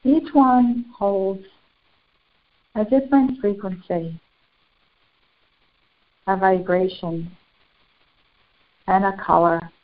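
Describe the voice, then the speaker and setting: A woman leading a guided spiritual meditation in solemn, formal, deliberate phrases with long pauses. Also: medium pitch, steady tone. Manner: solemn, formal, ceremonial wording. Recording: muffled, phone-like narrow band.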